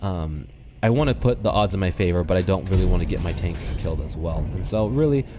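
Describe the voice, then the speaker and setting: A man with a slightly nasal, congested voice calmly explaining a game replay to his viewers on a desktop microphone, thinking aloud with the odd um. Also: deep voice, quick pace, music in the background. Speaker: gaming channel host. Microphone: desktop USB microphone.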